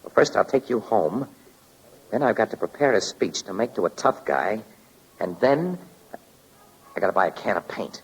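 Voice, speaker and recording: An actor playing a skeptical, wry newspaper photographer and amateur sleuth in a 1940s radio drama, with a husky, colloquial delivery. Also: male voice, lowish voice, very animated, normal speed, pauses often, noisy background. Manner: colloquial, sardonic, questioning. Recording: old-time radio recording.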